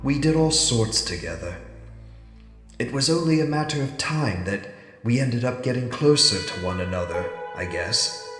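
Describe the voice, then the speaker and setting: A man playing an ageing, regretful scientist in an audio drama monologue, speaking formally and broodingly with heavy pauses. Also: medium pitch, fairly bright tone. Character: ageing, regretful scientist fighting off an alien conditioning in his mind. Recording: echoey reverberant hall with music underneath. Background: music.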